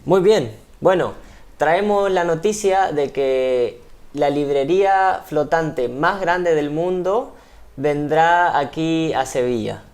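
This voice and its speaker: A man's voice: a little high, takes it slowly, a little mellow. A man with an Argentine accent, a ship crew member being interviewed on TV, explaining at length with frequent fillers. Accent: Argentine Spanish. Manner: hesitant, explanatory, many fillers.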